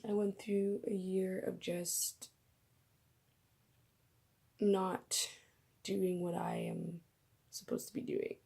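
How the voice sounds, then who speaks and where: Young woman vlogger, raspy and husky from a coming cold, mellow dark tone, casual rambling talk. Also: fairly low pitch. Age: young adult. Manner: chatty, rambling, laughs at herself. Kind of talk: casual faith vlog. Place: bedroom.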